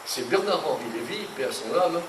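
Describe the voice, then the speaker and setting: A man's voice: on the high side, brisk. An older man from France, a painter, giving a rambling, indignant talk to an audience, full of asides and anecdotes. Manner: animated, indignant, digressive storyteller. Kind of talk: rambling lecture. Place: conference hall. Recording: echoey room, distant mic, noisy.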